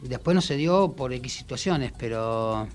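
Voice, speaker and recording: A man with an Argentine accent reminiscing casually as a radio guest, halting and repeating words as he goes. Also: medium pitch, lively intonation, darkish tone. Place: radio studio.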